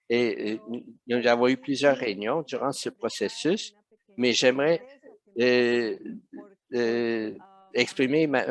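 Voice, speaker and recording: Male interpreter voicing a webinar live; slow, halting, mid-range voice. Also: medium pitch. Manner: hesitant, many fillers and restarts.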